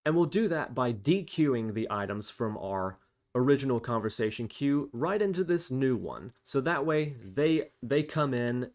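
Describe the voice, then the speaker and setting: A man hosting an explanatory programming tutorial, talking through each step as he types. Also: mid-range voice. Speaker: tutorial host. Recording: faint noise, keyboard typing clicks. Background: computer keyboard typing and clicks.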